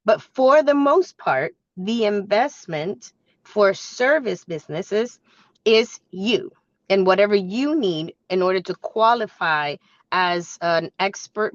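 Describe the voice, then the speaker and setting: A woman with a slightly hoarse voice from a cold, presenting like a business coach in a conversational, instructive way. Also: medium pitch, animated, slow pace. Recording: echoey room, dull muffled sound.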